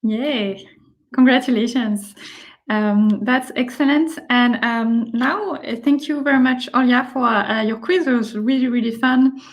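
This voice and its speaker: Brief: woman host, hesitant, higher-pitched, video call. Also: fairly even intonation, a little slow. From Paris, France. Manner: hesitant, many fillers. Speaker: event host. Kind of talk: online event talk.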